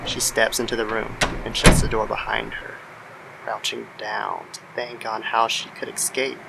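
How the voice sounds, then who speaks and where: A man's voice: lowish voice, level tone, normal speed. A man narrating a scripted horror story as the storyteller of an audio drama. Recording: clear background noise under the voice.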